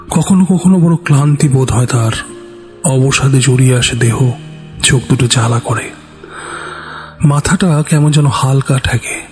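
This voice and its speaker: Man, mid-pitched voice, dramatic story narration, faint music behind. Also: darkish tone. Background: background music.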